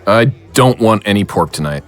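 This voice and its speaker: A man playing a wary, uneasy man in a horror audio drama, with a husky voice. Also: lowish voice, lively intonation, moderate pace, soft background music, close to an everyday voice. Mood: wary, polite. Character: wary, uneasy man trapped in an infernal dream.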